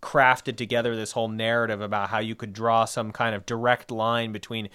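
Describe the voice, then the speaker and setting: A man's voice: lowish voice, flat delivery, a little fast, pushed and bright, smooth. A young man co-hosting a discussion podcast, explaining at length in a rambling way with lots of fillers and asides. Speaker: podcast co-host.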